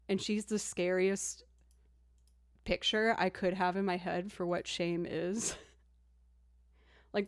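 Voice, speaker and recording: A young woman streamer with a low alto voice chats casually between songs. She talks in a relaxed, self-deprecating way and stops often to thank viewers. The sound is close and dry, with no room echo. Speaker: streamer and musician. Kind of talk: casual live-stream chat.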